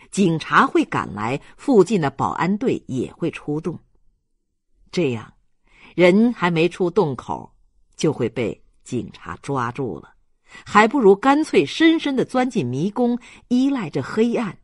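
An audiobook narrator reading a novel aloud in a measured, dramatic storytelling manner. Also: medium pitch, slightly rough, dry recording, studio quality.

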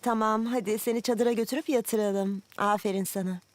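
A woman's voice: fairly high pitch, fairly even intonation, a little fast, natural voice. A woman voicing a caring, reassuring mother in a children's cartoon dub. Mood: soothing.

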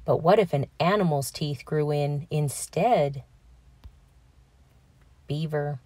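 A woman reading a children's picture book aloud in a deliberate, expressive storytelling manner for young listeners. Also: deep voice, no room sound. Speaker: children's read-aloud narrator.

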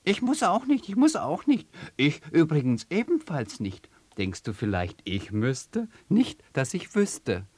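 Guy doing a bunch of cheeky birds, loud high chanting, lively. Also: slow pace, few pauses, no room sound, exaggerated voice. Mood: mocking. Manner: mocking chant. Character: flock of mocking, rowdy birds.

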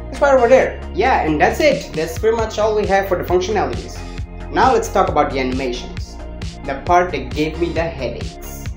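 A young man hosting a scripted coding tutorial, explaining step by step in a playful manner into a handheld microphone. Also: fairly high pitch. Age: young adult. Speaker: tutorial host. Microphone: handheld microphone with furry windscreen. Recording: roomy echo, music underneath. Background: background music.

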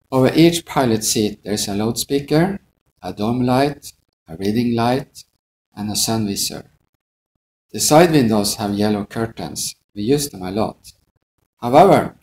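Measured, gravelly male pilot narrator. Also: on the low side, darkish tone, slight background noise, poor audio. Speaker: airline pilot instructor. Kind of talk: scripted instructional narration.

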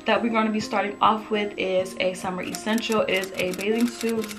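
A young woman with a slightly raspy voice, chatting upbeat and casual through a clothing try-on haul in her bedroom, talking to the camera up close. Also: mid-range voice, flat delivery, a little fast, music in the background. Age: young adult. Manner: chatty, upbeat, lots of fillers. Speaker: fashion vlogger. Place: bedroom with photo-collage wall, indoors.

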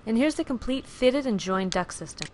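A woman giving clear, even, scripted narration for a software screencast tutorial, recorded as a studio voice-over. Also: on the high side, moderate pace, a little hiss or hum. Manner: clear, even instructional delivery.

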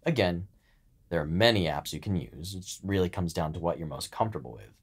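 A man's voice: sits low, lively intonation, a little fast, slightly rough. A man co-hosting a podcast in a casual, informal chat style, with a joking streak. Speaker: podcast co-host.

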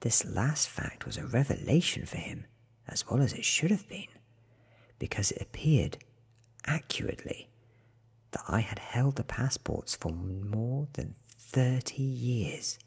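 A man in his thirties reading a book aloud in a soft, half-whispered ASMR style, with hushed, deliberate phrasing close to the mic. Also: mid-range voice, lively intonation, creaky, breathy edge. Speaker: audiobook reader.

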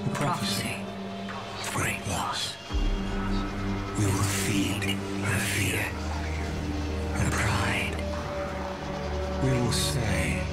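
A man voicing a sinister ancient worm creature in a video game, whispering ominous, insinuating prophecy in a breathy voice. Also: deep voice. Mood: scheming. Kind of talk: video game cutscene monologue.

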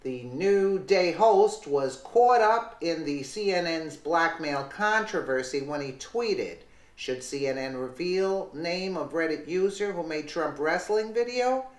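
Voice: mid-range voice, lively intonation, measured pace, echoey room. A commentator reading a news article aloud, with small slips, then adding casual remarks.

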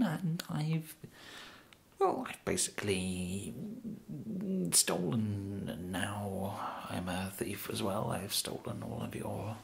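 A young man with an English accent whispering an ASMR roleplay as an awkward, polite kidnapper, halting and apologetic, close to the microphone. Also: lowish voice, vocal fry. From England. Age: young adult. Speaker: ASMR performer. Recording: steady background hiss.

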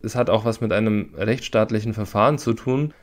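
A man's voice: lowish voice, steady tone, brisk. A man giving explanations as a legal expert in a podcast interview, repeating words for emphasis.

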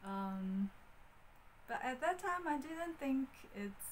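A woman in her thirties with a Mandarin Chinese accent talks slowly to camera, pausing often and filling gaps with ums. She holds a wired mic in a small room. A faint hiss sits under her voice. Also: high-pitched, lively intonation, low-quality recording. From Beijing, China. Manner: hesitant, many fillers and restarts. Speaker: vlogger. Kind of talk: casual talk to camera. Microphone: wired handheld mic.

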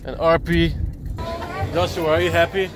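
A man's voice: on the high side, little pitch movement, moderate pace. A man in his thirties from Transylvania, vlogging a night out in a casual, joking way, filming himself with a camera at arm's length. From Transylvania, Romania. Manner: playful, laughing, jokey. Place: crowded nightclub and city streets at night. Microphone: camera held at arm's length. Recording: loud club music and crowd behind.

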